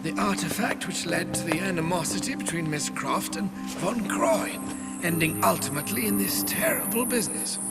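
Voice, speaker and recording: Elderly manservant, formal, dignified, somewhat high voice, game cutscene, loud music. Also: male voice, moderate pace. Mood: wistful, courteous. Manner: courteous, formal. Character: Winston, dignified elderly English butler. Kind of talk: video game cutscene acting.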